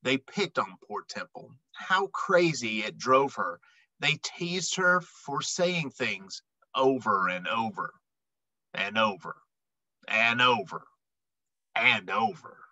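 Male storyteller, middle-aged, slow and animated, emphatic delivery for young children. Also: on the high side, no room sound. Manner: emphatic, dramatic phrasing with deliberate pauses. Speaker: read-aloud storyteller. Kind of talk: expressive children's picture book read-aloud.

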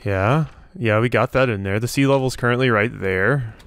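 A man's voice: medium pitch, normal speed, clean tone. A man giving casual game commentary, musing to himself as he works things out. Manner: thinking aloud, musing to himself. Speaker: let's play host.

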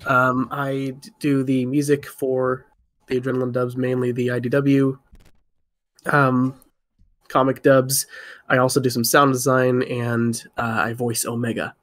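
Even-toned man in casual panel talk. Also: medium pitch, measured pace. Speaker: composer and sound designer on a panel. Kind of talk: casual self-introduction.

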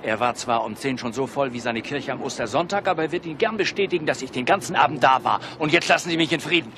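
A man's voice: medium pitch, lively intonation, fast talker, close to an everyday voice. An actor playing an irritable, brusque construction foreman in a German radio crime play. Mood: irritated. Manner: brusque, impatient. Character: gruff, irritable male construction site foreman. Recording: noisy outdoor urban ambience behind.

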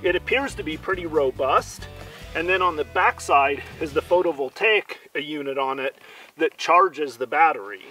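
A man in his forties giving an enthusiastic, steady walkthrough of camping gear, recorded outdoors at a campsite. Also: on the high side. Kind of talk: product review. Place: outdoors in a dry juniper woodland campsite.

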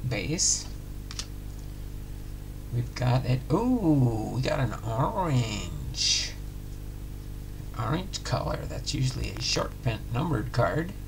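A middle-aged man calmly calling out each trading card as he opens packs at home. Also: medium pitch, creaky, background noise. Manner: unhurried, announcing each card plainly. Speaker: card-breaking channel host. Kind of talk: casual pack-opening commentary. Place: home room with card displays.

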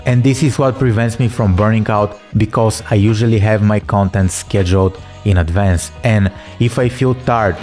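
A man with a fairly low voice and a Bulgarian accent talks straight to camera from his living room. He reads a script in a steady, earnest, instructive way, close to a studio mic. Soft music plays faintly underneath. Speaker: content creator. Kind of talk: scripted talking-head advice. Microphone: large studio mic on a stand. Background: soft background music.

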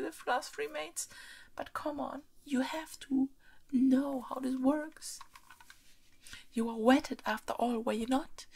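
A woman performing an ASMR roleplay as a haughty, teasing, demanding young princess, soft-spoken and close, often slipping into a whisper. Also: a little high, full character voice. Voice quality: breathy, often dropping into whispers. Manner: playfully bossy, imperious, expressive.

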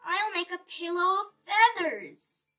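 A woman voicing an eager, brave male knight in a storybook, exclaiming and questioning brightly. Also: very high-pitched, very animated, takes it slowly, recorded from a distance, soft background music, voice pushed up, exaggerated voice. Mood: excited.

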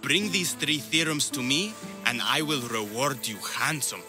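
An actor playing a pompous, boastful, eccentric philosopher of ancient Greece in a video game. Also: male voice, medium pitch, animated, unhurried, music in the background, lightly acted. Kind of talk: video game cutscene acting.

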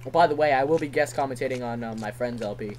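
A man's voice: mid-range voice, fast, darkish tone, slight background noise. A man giving casual, rambling gameplay commentary, self-deprecating and given to swearing. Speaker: let's play commentator. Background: game music.

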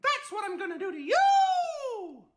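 A man voicing a clownish, excitable cartoon dog who threatens people over money in a chortling drawl for a comedy skit. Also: very high-pitched, measured pace, heavily acted. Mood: manic, threatening.

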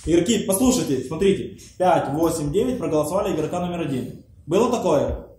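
A man's voice: fairly high pitch, lively intonation, fast talker, dark tone. A man arguing heatedly at a mafia game table, scolding the other players. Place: room with a round table, indoors. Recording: echoey room with faint hiss.

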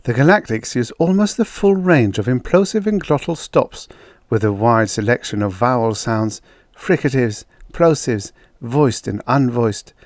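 A man voicing a quick-tempered woman academic who argues and lectures with emphatic conviction. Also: mid-range voice, darkish tone, no room sound, studio quality, voice pushed up, natural voice.

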